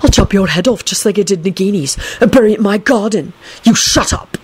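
A woman voicing an irritable, protective young male wizard professor in a story, barking forcefully. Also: medium pitch, fairly quick, husky, natural voice. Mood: menacing.

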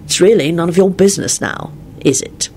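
A woman voicing a sarcastic, quick-tempered man in a story, with a threatening edge. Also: fairly low pitch, warm tone, slight background noise, natural voice. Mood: cool disdain. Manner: sardonic, threatening. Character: coolly sarcastic, quick-tempered man, dangerous when angry. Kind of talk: audiobook character acting.

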